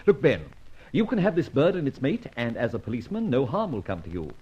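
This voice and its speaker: Theatrical, animated gentleman sleuth. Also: medium pitch, a little fast, husky. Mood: jaunty, assured. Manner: flowery, teasing, theatrical speech. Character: suave, playful amateur sleuth, theatrical and self-assured. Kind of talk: old-time radio detective drama acting. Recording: dull, muffled old radio recording.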